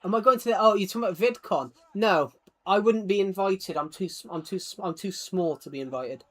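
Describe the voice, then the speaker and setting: A man's voice: high voice, fairly quick. A man chatting casually on a gaming livestream, rambling and self-deprecating, often stammering and repeating his words. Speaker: live streamer. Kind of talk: casual livestream chat while gaming, reading out viewer comments.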